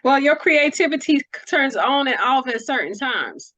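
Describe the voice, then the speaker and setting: A woman's voice: sits high, big pitch swings, moderate pace, sharp tone, no room sound, low-quality recording. A woman hosting a casual interview, talking in an encouraging, slangy, conversational way. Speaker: interviewer, host.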